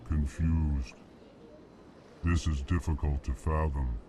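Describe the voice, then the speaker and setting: Huge alien diplomat, super deep rumbly voice, dead flat, crawls along saying "curious" before he talks. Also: a little hiss or hum. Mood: flat monotone. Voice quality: very deep, slow monotone drone. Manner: names each emotion flatly before speaking. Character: massive slow alien male diplomat, emotionless. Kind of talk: video game cutscene dialogue.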